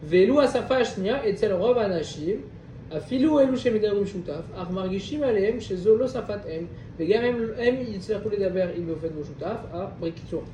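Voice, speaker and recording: A man with a high voice leads a study class in a roomy space. He stands some way off the mic, with a faint hum behind him. He reads a passage, unpacks it, and keeps asking his listeners whether they follow. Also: animated, brisk, mellow. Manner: reads a passage, then explains it with questions to the group. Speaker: Torah class teacher. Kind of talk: reading Hebrew text and explaining in French. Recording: echoey room, distant mic, faint background noise.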